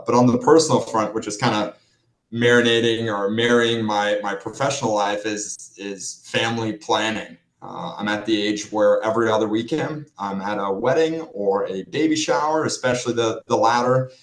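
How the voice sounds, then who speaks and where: A young Norwegian man, a financial planner, chatting casually as an interview guest, with relaxed fillers and restarts. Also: on the low side, roomy sound, poor audio. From Norway.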